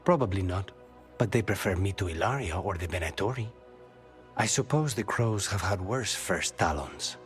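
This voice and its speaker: An actor playing a brooding, dry-witted assassin haunted by a demon in a video game, speaking in measured, wry, clipped phrases. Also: male voice, low voice, expressive, faint music behind.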